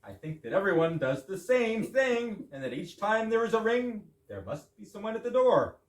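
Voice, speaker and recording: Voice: mid-range voice, sing-song, moderate pace, lightly acted. An amateur actor playing an insistent, reproving husband in a staged comedy reading, with emphatic corrections. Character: insistent, reproving married man, doggedly logical. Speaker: amateur readers theater actor. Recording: echoey room, far mic, background noise.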